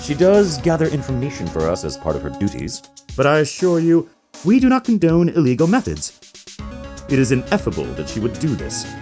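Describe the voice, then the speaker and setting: A super pompous arrogant ceo from a game dub, voice swoops all over, bit of music behind. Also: male voice, medium pitch, huge pitch swings, a little fast. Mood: haughty, dismissive. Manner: pompous, grandiose, mangles big invented words. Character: pompous, smug male company president, flamboyantly arrogant. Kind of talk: theatrical video game fan dub.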